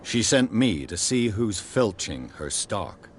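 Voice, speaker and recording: An actor playing a calm, dry and dogged male investigator in a video game. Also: fairly low pitch, slow pace, a little hiss or hum.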